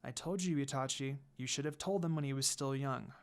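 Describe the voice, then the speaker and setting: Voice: medium pitch, a little fast, voice pushed down, lightly acted. A reader voicing a guarded, blunt young female ninja who teases and commands in a terse, dry manner. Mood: exasperated.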